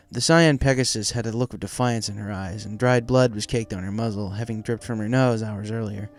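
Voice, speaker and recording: Male storybook narrator, mid-pitched voice, scripted reading with music underneath. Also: a little mellow. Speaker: fan fiction reader.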